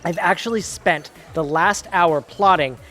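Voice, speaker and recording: An actor playing a whiny, exhausted, melodramatic junior attorney in an audio theatre comedy. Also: male voice, sits high, measured pace, sharp tone, soft background music. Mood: gleeful plotting. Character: whiny, exhausted, overdramatic male junior attorney plotting murder.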